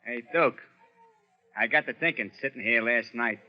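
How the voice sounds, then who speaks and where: A man's voice: mid-range voice, moderate pace, close to an everyday voice. Guy playing american gangster sidekick, slangy n jittery, mid voice, muffled 1930s radio. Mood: conspiring. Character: slangy, nervous male gangster underling.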